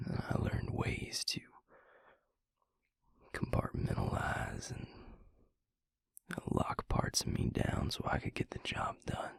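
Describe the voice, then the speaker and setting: A man playing a weary, guilt-ridden vampire in an intimate audio drama, confiding in a husky, halting near-whisper. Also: dry recording, natural voice. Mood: heavy, subdued. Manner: slow, halting, brooding confession. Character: weary, guilt-ridden male vampire and former healer, confiding in his mate. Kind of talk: intimate audio roleplay drama, hushed monologue.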